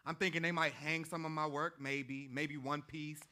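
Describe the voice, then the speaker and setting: Brash young male artist boyfriend, American, played by a man in a staged reading. High-pitched, piercingly forceful, slangy persuader. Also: little pitch movement, moderate pace. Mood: boastful storytelling.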